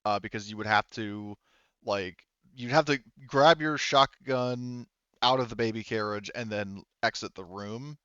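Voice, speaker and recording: A man running a tabletop roleplaying game as game master, talking casually and haltingly as he thinks aloud through the rules. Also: lowish voice, fairly bright tone, smooth, dry recording, muffled recording.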